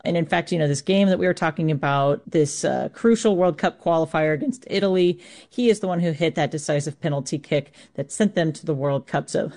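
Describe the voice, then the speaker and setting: Lowish-voiced American woman reporting on sports. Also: brisk, mellow. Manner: explanatory, informal. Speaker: sports correspondent. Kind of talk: conversational live news report.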